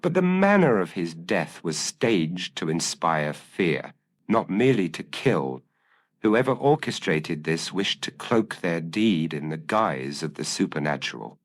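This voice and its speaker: A man playing a brilliant, impassive detective in a gothic audio mystery, calm, probing and precise. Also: low voice, unhurried, heavily acted. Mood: analytical.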